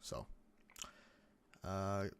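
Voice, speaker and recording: Rambling young man, a bit croaky. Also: sits low, lively intonation, extremely slow. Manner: rambling, many restarts and fillers. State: getting sick, a bit croaky. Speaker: gaming channel host. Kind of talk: casual gameplay commentary.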